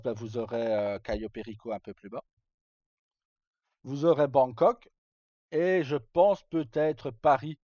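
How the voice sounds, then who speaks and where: A man's voice: mid-range voice, darkish tone. A man live-streaming, chatting casually to viewers and explaining things in an informal, hesitant, repetitive way. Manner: informal, hesitant, repeating himself. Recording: roomy, dull lo-fi stream microphone.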